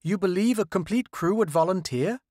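A man's voice: high-pitched, moderate pace, darkish tone, no room sound, clean studio sound, voice pushed up. A man voicing an anxious male political leader who presses for answers in a read-aloud story. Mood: inquisitive.

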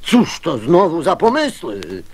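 A man's voice: fairly high pitch, huge pitch swings, slow pace, darkish tone, a little hiss or hum. A man reciting children's verse as a theatrical radio narrator.

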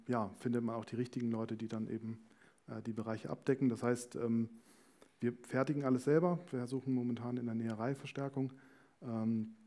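A man's voice: mid-range voice, warm tone. A man, explaining things as a guest in an on-stage interview. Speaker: company managing director, talk guest. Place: indoor small stage before talk-show panel. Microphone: headset.

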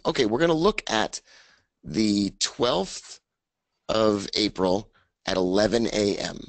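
A man with a raspy voice hosting a casual trading webinar, upbeat as he talks through his charts. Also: lowish voice, dry recording. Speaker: webinar host. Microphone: studio mic, screen share.

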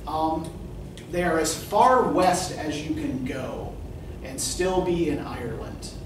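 A man's voice: fairly high pitch, lively intonation, slow pace. A middle-aged man teaching literature to a class in conversational lecture style, often checking in with "right?" and asking students questions. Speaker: literature professor. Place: classroom with whiteboard. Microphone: far camera at the back of the room. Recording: echoey classroom from far off, noisy.

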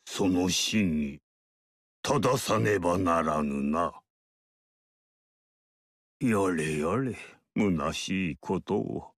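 A man voicing an aloof male sage mystic in a video game, speaking in a measured, lofty, archaic way. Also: sits low, no room sound, clean studio sound, full character voice. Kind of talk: game character voice lines.

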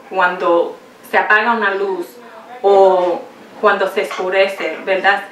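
Woman preacher in her forties, slow and halting, caught by a far camera in a roomy space. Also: medium pitch, a bit bright. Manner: halting, searches for words, slips into English. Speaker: pastor's wife preaching. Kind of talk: Good Friday sermon. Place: small home room set up as a chapel, indoors. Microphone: far camera, no visible mic. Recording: echoey room from a far camera.

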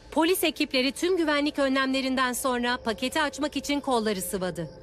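A woman playing a formal, dramatic television news anchor in an animated series. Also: sits high. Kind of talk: scripted news report in animation.

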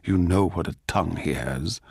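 A man voicing a complacent, regal emperor in a radio drama, speaking in a lofty, brisk manner. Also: low-pitched, gravelly, slightly rough, no room sound, clean studio sound, exaggerated voice. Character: complacent, regal male emperor, casually pronouncing.

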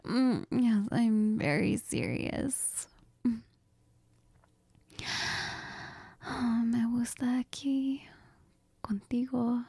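Breathy, slow-murmuring needy girlfriend played by a woman. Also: on the high side, dark tone, dry recording, full character voice. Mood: playful, clingy. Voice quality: breathy, whispery. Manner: hesitant, giggly, tender, murmuring with Spanish endearments. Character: needy, cuddly, affectionate girlfriend who missed her partner. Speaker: audio role-play performer. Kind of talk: intimate girlfriend role-play, whispery close-up.

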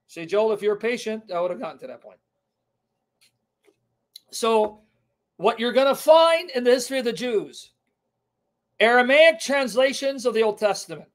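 A man's voice: very high-pitched, animated, moderate pace, long pauses. A middle-aged man whose first language is Syriac, teaching on a live stream from his home kitchen into a webcam, emphatic and repeating key terms. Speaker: online apologetics teacher.

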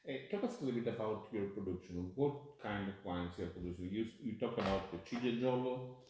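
A middle-aged man with an Italian accent puts leading questions in careful, non-native English. His voice sits low for a man. It echoes heavily around a bare indoor room and is caught by a distant camera. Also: brisk, noisy background. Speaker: interviewer. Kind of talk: interview questions. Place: bright tasting room, indoors.

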